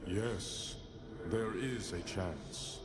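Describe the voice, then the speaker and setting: An actor playing a solemn, all-seeing cosmic alien in a superhero game, portentous and deliberate, with long dramatic pauses. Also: male voice, low voice. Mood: solemn, grave. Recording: echoing reverberant voice, loud music.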